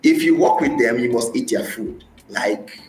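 A man's voice: mid-range voice, expressive, normal speed, darkish tone. A man from Nigeria with a Nigerian accent, talking as a podcast guest about his experiences and often repeating phrases for emphasis. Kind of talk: conversational interview, recounting personal experience. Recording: roomy echo, faint music.

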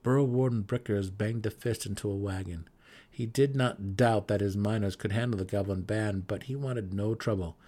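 A man's voice: lowish voice, steady tone, moderate pace, warm tone. A man reading a scripted fantasy story as a dramatic audiobook narrator.

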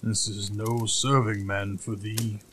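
Man as a fairy-tale giant, huge, slow-witted, gruff, ponderous drawn-out speech, faint noise. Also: fairly low pitch, level tone, fairly bright tone. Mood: alarmed, gruff. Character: huge, dim-witted, fearful male giant, booming and gruff.

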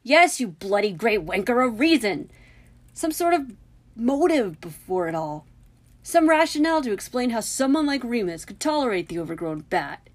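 An indignant teenage boy wizard from a storybook, acted out by a woman narrator. He speaks in emphatic, scornful bursts, loud and exasperated, with sarcasm on top. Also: on the high side, very animated, moderate pace, voice pushed up. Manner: emphatic, sarcastic, incredulous outbursts.

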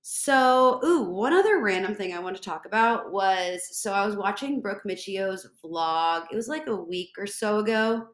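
A woman's voice: mid-range voice, normal speed, few pauses, fairly bright tone. Bubbly congested young woman, echoey room. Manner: bubbly, rambling, says like a lot. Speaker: podcast host. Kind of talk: casual solo chat.

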